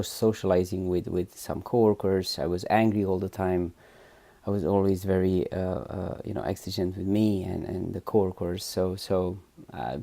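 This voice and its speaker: A man with a Romanian accent talks through a personal testimonial in a low, gravelly voice. His tone is dark and mellow. He hesitates, repeats words and restarts often, checking in with "right?". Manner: hesitant, many restarts and fillers, frequent "right?" tags. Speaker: therapy client giving a testimonial. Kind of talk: unscripted spoken testimonial.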